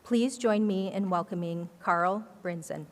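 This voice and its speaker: A woman's voice: lowish voice, a little slow, very noisy. Female ceremony presenter, formal announcing voice, echoing hall. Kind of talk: formal introduction. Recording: long hall reverb.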